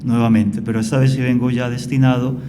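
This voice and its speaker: A man from Colombia, a priest guest on a radio interview, explaining at length in a calm, storytelling way. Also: mid-range voice, fairly quick, background noise.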